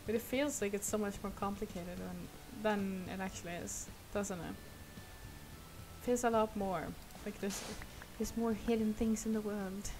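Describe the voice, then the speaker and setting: A young woman with a Swedish accent, a native Swedish speaker, chatting casually and rambling softly while she plays a game on stream. She speaks with a sore throat. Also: medium pitch, steady tone, a little slow, over music, low-quality recording. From Sweden. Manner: rambling, soft, laughs often. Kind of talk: casual chat while gaming. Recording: loud noise behind the voice.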